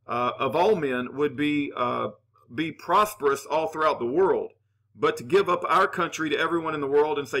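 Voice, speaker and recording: A man hosting his channel, giving opinionated commentary with Bible references in an earnest, halting way full of "uh" fillers and restarts. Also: a little high, bright, forceful tone. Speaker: channel host reading and answering viewer comments.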